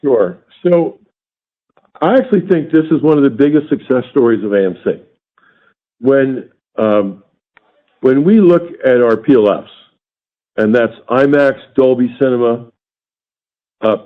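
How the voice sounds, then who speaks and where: A male executive gives earnings call remarks over a narrow, tinny phone line. His voice sits at an average pitch. He speaks deliberately and emphatically, drawing out important words. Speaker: company executive answering analysts. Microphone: phone or conference line.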